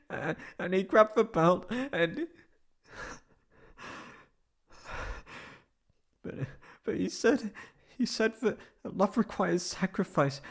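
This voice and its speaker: A man as a halting, creaky-voiced wounded catboy. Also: sits high. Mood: distraught, pleading. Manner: halting, stammering, tearful. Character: wounded, anxious young male catboy, tsundere runaway. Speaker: ASMR roleplay voice actor. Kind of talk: emotional ASMR roleplay monologue.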